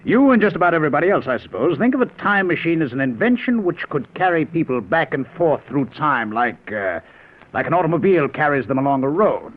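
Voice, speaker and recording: A thin, old-time radio recording carries a confident, excitable professor. His husky voice rises and dips widely as he explains things with theatrical eagerness, in the style of 1950s radio drama. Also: on the high side, a little fast, phone-quality sound. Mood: amused, lecturing.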